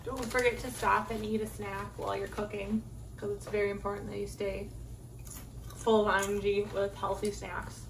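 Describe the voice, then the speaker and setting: A woman's voice: medium pitch, flat delivery, moderate pace, far from the mic. An American woman in her thirties chatting informally as she gives a casual home cooking demonstration. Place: home kitchen, some parts in a backyard garden. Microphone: phone or camera at arm's length. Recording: echoey kitchen, clear background noise.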